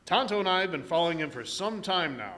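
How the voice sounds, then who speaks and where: A man's voice: high-pitched, animated, fast, pushed and bright. A man playing a heroic, steadfast masked lawman in an old-time Western radio drama, speaking with firm authority. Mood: reassuring, concerned.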